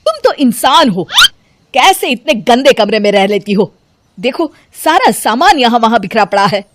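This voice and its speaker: A woman's voice: a little high, big pitch swings, normal speed. A woman voicing a fed-up, nagging husband in a cartoon family story, reproachful and emphatic. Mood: exasperated. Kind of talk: animated Hindi family story dramatization.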